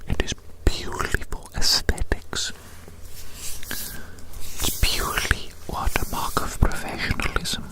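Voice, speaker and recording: A man whispering a breathy ASMR roleplay monologue in a rambling, repetitive, whimsically fussy way. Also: slow, long pauses, rough recording.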